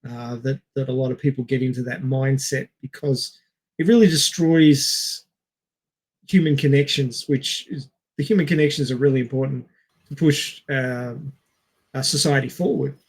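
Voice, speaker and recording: A man chatting casually as a podcast co-host on a headset mic, pausing to search for words as he explains. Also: mid-range voice, steady tone, breathy edge. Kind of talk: casual film podcast chat.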